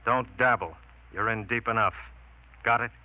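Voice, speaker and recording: A man's voice: mid-range voice, normal speed, lightly acted. An American man acting a blunt, dryly bantering police detective in a vintage radio drama. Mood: stern. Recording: dull vintage radio recording.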